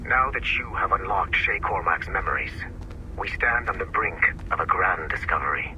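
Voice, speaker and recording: A very deep yet strikingly sharp-edged male voice, a grave, secretive overseer from a video game. He speaks formally and cryptically over faint noise. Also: animated, normal speed, full character voice, rough recording. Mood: cryptic, grave.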